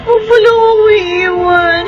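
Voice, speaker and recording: A woman's voice: very high-pitched, steady tone, measured pace, dull audio. A woman playing a devoted, submissive young girlfriend in an emotional radio drama. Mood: desperate, tearful. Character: devoted, pleading young woman clinging to her boyfriend. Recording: radio drama with background music. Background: music.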